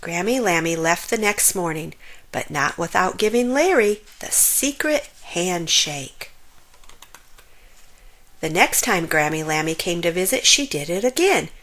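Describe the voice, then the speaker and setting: A woman reading a children's picture book aloud as an expressive storyteller, stretching words for emphasis. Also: on the low side, unhurried. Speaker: storybook reader.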